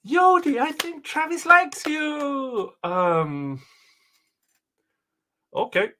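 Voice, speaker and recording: A man reading aloud casually as a co-host, dropping in deadpan, hesitant asides. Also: very high voice, extremely slow. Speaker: co-host reading and reacting to a document.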